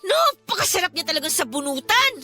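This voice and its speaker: Fed-up filipino daughter in radio drama, sarcastic, really high sharp voice, music under it. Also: female voice, sing-song, a little fast, full character voice. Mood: frustrated, hurt. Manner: indignant, emphatic, sarcastic. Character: frustrated, outspoken adult eldest daughter, dutiful but hurt. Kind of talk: Tagalog radio drama acting. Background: background music.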